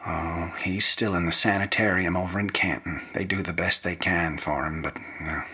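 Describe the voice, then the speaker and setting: A man's voice: deep voice, steady tone, normal speed, sharp tone, breathy edge, exaggerated voice. A man acting in a radio drama as a folksy middle-aged uncle, casual and familiar in his talk. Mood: resigned.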